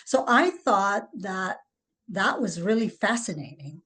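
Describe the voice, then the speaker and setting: A woman's voice: mid-range voice, expressive, slow, fairly bright tone. A middle-aged or older woman from the Philippines with a Filipino accent, answering interview questions as an author. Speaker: author, podcast guest.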